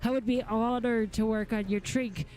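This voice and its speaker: Cranky rustic male blacksmith for a fantasy game, performed by a woman, gruff, music underneath. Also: on the high side, fairly even intonation, moderate pace, a little mellow, close to an everyday voice, low-quality recording. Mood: eager. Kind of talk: reading fantasy game dialogue aloud.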